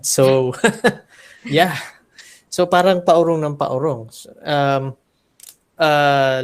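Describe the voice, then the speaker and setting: Filipino guy teacher, mid pitch, lots of uh and restarts, self-mocking, on a video call. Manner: halting, self-deprecating, mixes Tagalog and English. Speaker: teacher and graduate student. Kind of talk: class discussion.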